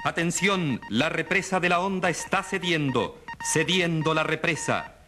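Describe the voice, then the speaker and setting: A man's voice: fairly high pitch, a little slow, natural voice. An actor playing a radio newsreader, a man announcing a disaster with grave, clipped urgency in a dramatized bulletin. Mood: urgent, alarmed. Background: music.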